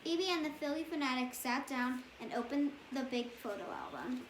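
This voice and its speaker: High-voiced woman reading aloud in an echoey room. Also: lively intonation, moderate pace. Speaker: storybook reader. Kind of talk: reading a bedtime story aloud. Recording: echoey room with faint background noise.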